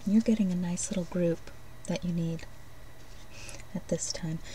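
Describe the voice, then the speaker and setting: A woman doing an ASMR role play as a shopkeeper, speaking softly and gently, often breathy and near a whisper, in unhurried short phrases. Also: on the low side, fairly even intonation, distant mic, slight background noise, poor audio. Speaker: ASMR host playing a shopkeeper giving card readings.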